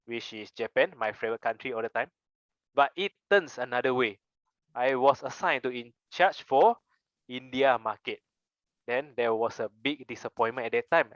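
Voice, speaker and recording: A man's voice: medium pitch, no echo, highs cut off. A young man with a Malaysian English accent, giving an earnest prepared speech with personal stories and rhetorical questions. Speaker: club member giving a speech.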